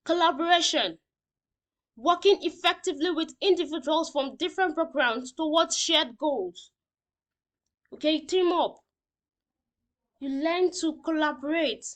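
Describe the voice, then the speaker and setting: A woman's voice: high voice, a little slow, pauses often. A woman teacher reading out lesson points and explaining them simply, often checking that learners understand.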